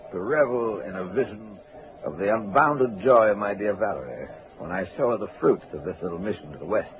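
A man performs a smooth-talking bogus colonel in an old radio play. His deep voice rolls out wordy, pompous courtesies. The sound is narrow and tinny, with clear background hiss. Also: expressive, brisk, close to an everyday voice. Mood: grandly musing. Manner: pompous, wordy, elaborately polite. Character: male swindler posing as colonel, grandiloquent and smooth-talking. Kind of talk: 1940s radio acting. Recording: scratchy, tinny 1940s radio recording.

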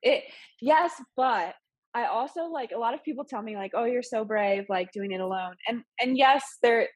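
A woman's voice: a little high, moderate pace, no echo. Nasal-sounding woman in her thirties, a bit sick. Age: 30s. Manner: chatty, rambling with many fillers and laughs. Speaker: podcast guest. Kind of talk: casual interview chat.

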